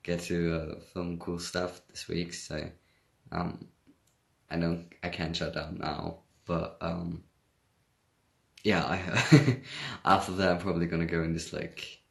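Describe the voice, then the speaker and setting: A young man with a German accent talks casually on a phone livestream from home, sounding tired. His voice sits very low with a gravelly, creaky texture. He rambles, corrects himself mid-sentence and laughs often. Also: flat delivery, slow pace, pauses often. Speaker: livestream host. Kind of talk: casual livestream chat reading comments.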